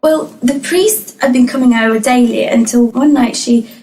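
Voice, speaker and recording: A woman acting a distressed young client in an audio play. Her voice is quite high, earnest and faltering. There is some faint noise behind her. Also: normal speed, a little mellow, natural voice, rough recording. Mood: anxious, distressed. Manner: hesitant, faltering, anxious.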